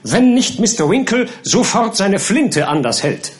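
A man voicing a fussy, stubborn gentleman in an audiobook, protesting with emphatic, plaintive politeness. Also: fairly high pitch, lively intonation, quick pace, voice pushed up, lightly acted. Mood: indignant, insistent.

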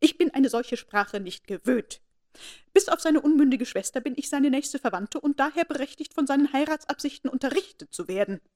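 A woman's voice: high-pitched, animated, normal speed, pitched above the performer's own voice. A woman voicing a haughty, imperious elderly noblewoman who speaks in a commanding, formal and indignant way.

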